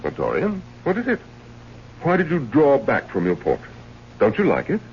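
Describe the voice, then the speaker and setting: An actor playing a genial, matter-of-fact painter in an old-time radio drama, speaking in a hearty way. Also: male voice, mid-range voice, wildly swooping pitch, moderate pace. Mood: expectant, puzzled. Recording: muffled old radio broadcast with hiss.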